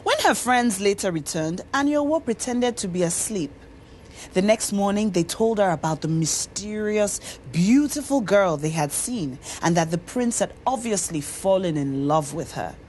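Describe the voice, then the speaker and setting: A woman with a Nigerian accent narrating a scripted folktale in an expressive, dramatic storyteller's manner. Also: mid-range voice, normal speed, a little hiss or hum, rough recording. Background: music.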